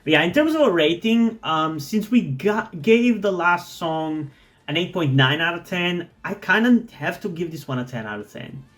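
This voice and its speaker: A man's voice: sits high, expressive, moderate pace, a bit bright. Rambling man reacting to music. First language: not English. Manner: thoughtful, rambling, with many "like"s and restarts. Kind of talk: casual music reaction commentary.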